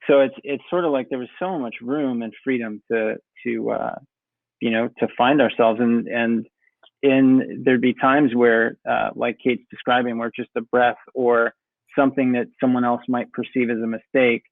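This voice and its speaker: A man, a music producer, talking casually in an interview, relaxed and rambling with many fillers. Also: medium pitch, moderate pace, gravelly, dry recording. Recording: tinny phone-like call audio.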